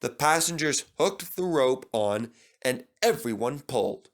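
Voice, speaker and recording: Male storybook narrator, middle pitch, very animated intonation, unhurried delivery. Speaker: storybook reader. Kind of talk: scripted children's story narration.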